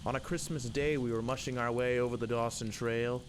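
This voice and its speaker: Male poetry reader, mid-pitched, theatrical and emphatic storytelling in rhythm, with clear background noise. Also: steady tone, fairly quick. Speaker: poem reader. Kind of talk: dramatic narrative poetry recitation. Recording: loud background noise and ambience.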